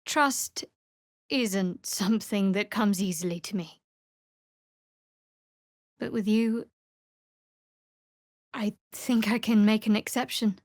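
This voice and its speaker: A woman playing a guarded, sardonic young cleric of a dark goddess in a video game, speaking in a dry, wry manner. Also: medium pitch, takes it slowly, pauses often, no room sound, close to an everyday voice. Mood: wistful, guarded. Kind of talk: video game character voice lines. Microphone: close studio microphone.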